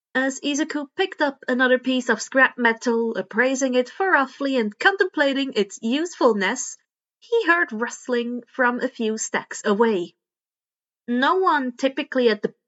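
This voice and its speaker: Female fiction narrator, high voice, expressive scripted read, slightly dull lo-fi recording. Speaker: podfic narrator reading fan fiction.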